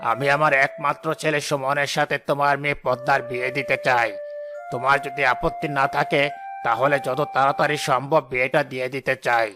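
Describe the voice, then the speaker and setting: A man's voice: mid-range voice, steady tone, fairly quick, natural voice. A man playing a domineering, calculating village headman and father in a cartoon. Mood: smug. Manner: commanding, calculating. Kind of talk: cartoon voice acting. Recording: loud background music.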